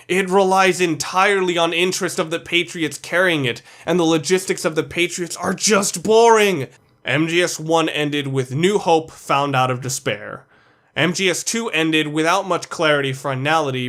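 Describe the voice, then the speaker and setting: A man with a slightly high voice delivers opinionated video-review narration. He is the host, speaking informally and freely dropping curse words. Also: fairly bright tone. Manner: informal, sweary.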